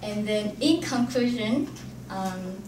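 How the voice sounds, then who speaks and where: Slow-paced Korean-accented woman, echoey room. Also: mid-range voice. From South Korea. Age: young adult. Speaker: graduate student presenter. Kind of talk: academic presentation. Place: indoor room at an academic forum. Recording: echoey, noisy room, distant mic.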